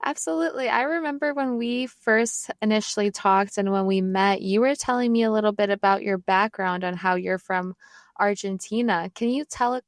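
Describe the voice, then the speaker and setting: A woman's voice: mid-range voice, normal speed, dry recording, studio quality. A woman hosting a podcast with an upbeat, affirming delivery. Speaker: podcast host. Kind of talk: podcast hosting and scripted promo.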